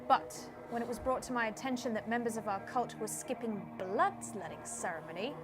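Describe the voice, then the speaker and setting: A woman's voice: a little high, animated, brisk, over music, lightly acted. A woman acting an imperious, menacing cult leader with a formal, theatrical manner in a comedy. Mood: coolly menacing. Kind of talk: scripted audio comedy acting.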